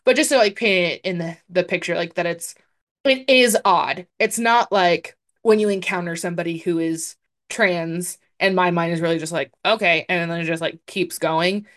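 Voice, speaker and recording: A young adult woman with a deep voice for a woman, co-hosting a podcast in chatty casual talk full of fillers. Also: moderate pace, a bit bright. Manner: chatty, hedging with many fillers. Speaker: podcast co-host. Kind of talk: casual chat.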